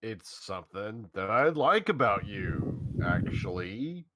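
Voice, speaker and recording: A man reading the lines of an easygoing teenage boy in a game read-along, casual and lively. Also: on the low side, takes it slowly, background noise. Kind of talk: read-aloud of a game script with friends. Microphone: headphones with mic.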